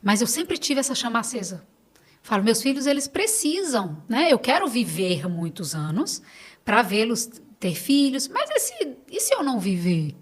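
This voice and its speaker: A woman's voice: a little high, sing-song, moderate pace. A middle-aged Brazilian woman talking casually as a podcast guest, telling stories and quoting conversations as she goes.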